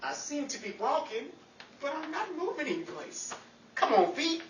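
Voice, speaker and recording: A woman's voice: high-pitched, sing-song, normal speed, distant mic. A woman playing a wisecracking, exasperated character in a 1940s-style radio comedy, full of sarcastic quips. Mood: muttering, sarcastic. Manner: muttered asides, sarcastic quips. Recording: echoey room, off-mic, faint crowd noise.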